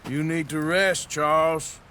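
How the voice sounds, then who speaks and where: An actor playing a gruff, sardonic American outlaw gunman in a video game, talking in a dry, folksy way. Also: fairly high pitch, steady tone, unhurried, bright, forceful tone, slight background noise. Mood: wry. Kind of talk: video game dramatic acting.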